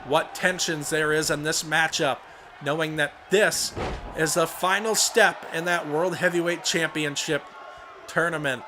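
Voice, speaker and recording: A young man calls the action of a wrestling video game in a voice hoarse and worn from a cold, sitting a little high for a man. He gets excited and shouts on the big moves. Steady background noise sits under the home recording. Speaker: let's play commentator. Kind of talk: play-by-play wrestling commentary over a video game.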